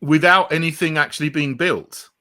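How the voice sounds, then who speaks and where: A man's voice: on the high side, steady tone, normal speed, sharp tone, no room sound, studio quality. A man in casual, opinionated chat, speaking informally and with some incredulity. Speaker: interviewer, former politician.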